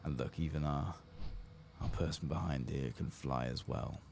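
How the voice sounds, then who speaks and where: Very deep, creaky, soft-spoken man. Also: normal speed. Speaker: streamer playing a fantasy game. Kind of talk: soft-spoken, whispering ASMR game playthrough. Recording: clear background noise with game ambience.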